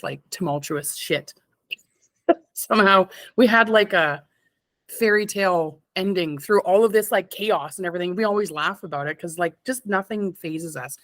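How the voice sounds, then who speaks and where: A woman's voice: on the low side, fairly bright tone, dry recording. A woman in her thirties from Canada, chatting casually as an interview guest, rambling and laughing often. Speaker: interview guest, stone fabricator. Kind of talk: casual chat.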